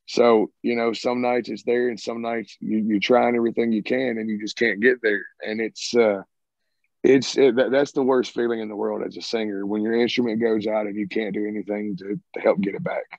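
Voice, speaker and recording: A man's voice: lowish voice, fairly even intonation, brisk, creaky, no room sound. Laid-back Southern man on a video call. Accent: Southern American. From American South. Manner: laid-back, drawls "man" often, rambling. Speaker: rock band singer and guitarist. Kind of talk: casual interview answers. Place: home, by a sided wall. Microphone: video call, earbuds. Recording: muffled dry video call audio.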